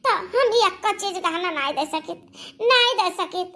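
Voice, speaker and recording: A woman's voice: very high voice, expressive, a little slow, sharp tone, exaggerated voice. A woman voicing an aggrieved young village wife in a cartoon, pleading and arguing with an Awadhi accent. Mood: indignant, pleading. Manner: pleading, argumentative, complaining. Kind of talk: melodramatic cartoon dialogue.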